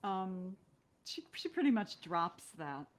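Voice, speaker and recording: A woman's voice: mid-range voice, sing-song, slow pace. A middle-aged woman, an actress talking as a guest in a casual, reflective way. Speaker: guest, stage and screen actress.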